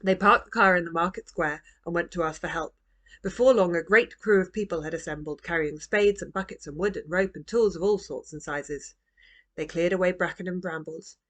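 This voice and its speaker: A woman narrating a children's storybook as its author, in a gentle, expressive manner. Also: lowish voice, a little fast. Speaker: author reading own book.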